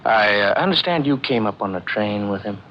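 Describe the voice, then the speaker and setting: An actor playing a polite, hesitant police detective in a 1940s radio drama, folksy and quietly probing. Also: male voice, lowish voice, expressive, lightly acted. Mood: politely probing. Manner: hesitant, folksy, unhurried questioning. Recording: muffled old radio recording, faint noise.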